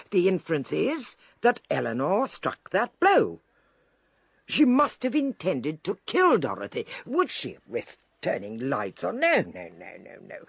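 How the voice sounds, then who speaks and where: An actress playing a shrewd, eccentric older British lady detective in a radio drama, theatrical, deliberate and drily amused. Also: lowish voice, vocal fry, slightly rough, no room sound, clean studio sound, full character voice.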